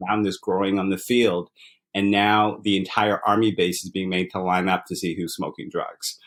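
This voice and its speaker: A man in his forties from Uganda, a startup founder and author, talking in a relaxed, reflective way with many fillers and repeated words. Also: low-pitched, normal speed. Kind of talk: relaxed interview conversation.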